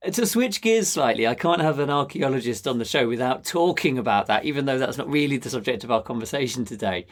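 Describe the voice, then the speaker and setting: A man's voice: mid-range voice, animated, quick pace. A man speaking conversationally as a podcast host, putting questions to a guest. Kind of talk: conversational interviewing.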